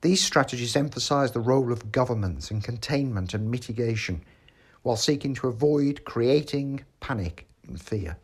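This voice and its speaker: A man reads a scripted essay in a measured, formal way. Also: medium pitch, lively intonation, husky. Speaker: article reader. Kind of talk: scripted narration, read essay.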